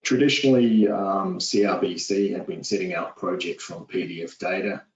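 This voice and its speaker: A man in his forties with a New Zealand accent, giving a steady, businesslike webinar presentation with frequent "uh"s over a call. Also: sits low, normal speed, a little hiss or hum. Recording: echoey, distant, dull lo-fi audio.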